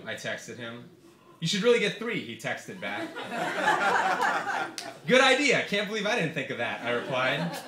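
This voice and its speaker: Male author, wry live literary reader, mid-pitched voice, roomy echoing venue sound. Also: fairly bright tone. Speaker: author reading own essay. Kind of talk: live literary reading of satirical essay. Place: bar reading event before an audience. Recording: roomy echo, live bar reading.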